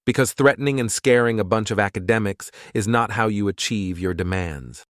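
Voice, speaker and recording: A man playing a calm, stubborn priest who captains a starship in an audio drama, speaking in terse, firm lines. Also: lowish voice, brisk, dry recording, clean studio sound, lightly acted. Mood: firm, defiant. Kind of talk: fan audio drama acting.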